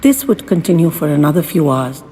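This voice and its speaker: A woman narrating a first-person audio story in a wistful, theatrical and deliberate way. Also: low-pitched, lively intonation, dark tone, breathy edge. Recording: long reverb, music underneath.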